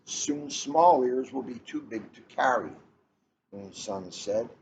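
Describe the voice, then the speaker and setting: A middle-aged man with unhurried, deliberate phrasing, chatting casually and reading a storybook aloud. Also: medium pitch, darkish tone. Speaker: police officer reading a picture book aloud. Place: coffee shop, indoors. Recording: muffled, noisy room recording.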